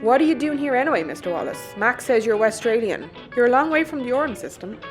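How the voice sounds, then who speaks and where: An actress playing a persistent, blunt spaceship captain, wry and direct, in an audio drama. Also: female voice, a little high, expressive, fast, music in the background, natural voice. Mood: pressing.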